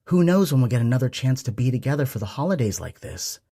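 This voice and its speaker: A man's voice: mid-range voice, sing-song, quick pace, mellow, pitched above the performer's own voice. A man voicing a prim, passive-aggressive middle-aged mother in an audiobook. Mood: reproachful. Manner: prim, reproachful.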